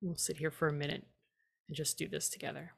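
A woman guides a meditation in a fairly low voice with plenty of vocal fry. She gives gentle, simple instructions in a calm and unhurried manner, leaving space between phrases. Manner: calm, unhurried, leaves long pauses. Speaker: guided tea meditation teacher.

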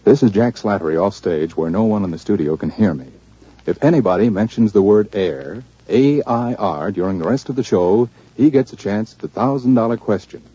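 A man making an old-time radio announcement with deliberate enunciation. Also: on the low side, expressive, dark tone, slightly rough, dry recording. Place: off stage, away from the audience. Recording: dull old radio recording.

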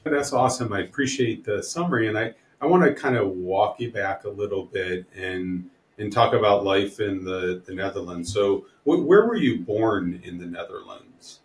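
A man who is an attorney hosting a podcast, asking questions in a friendly, halting way with frequent restarts. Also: fairly low pitch, animated, echoey room. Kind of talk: podcast interview.

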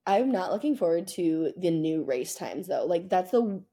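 A woman's voice: on the low side, lively intonation, normal speed, dark tone, breathy edge. A young American woman co-hosting a podcast in casual chat, talking in a chatty, emphatic, slangy way. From United States. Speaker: podcast co-host.

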